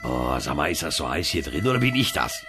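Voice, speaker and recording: An actor playing a flippant, wisecracking heavenly designer in a comedic radio play. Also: male voice, a bass voice, sing-song, fairly quick, over music, exaggerated voice. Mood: joking. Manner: clownish, joking.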